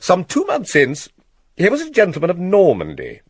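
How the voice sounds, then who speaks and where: An actor playing a smooth, scheming king in classical Shakespearean style, with a British accent and measured, theatrical delivery full of dramatic pauses. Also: high-pitched, huge pitch swings, no room sound, clean studio sound, heavily acted. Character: scheming king, smooth, persuasive, plotting murder.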